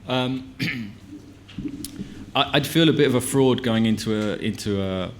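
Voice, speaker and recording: A man's voice: medium pitch, measured pace, slight background noise. A film director answering at a press conference, with many restarts and fillers as he gathers his thoughts.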